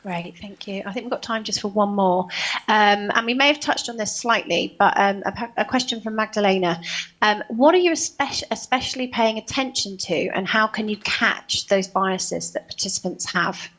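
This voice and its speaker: A woman's voice: medium pitch, normal speed, fairly bright tone, poor audio. A woman moderating a conference panel, politely reading out audience questions with hesitant fillers.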